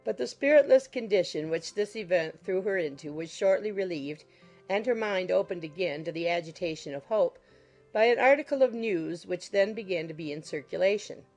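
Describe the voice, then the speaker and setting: A woman's voice: on the low side, lively intonation, normal speed, a little mellow, faint music behind. A woman reading scripted literary narration as an audiobook narrator.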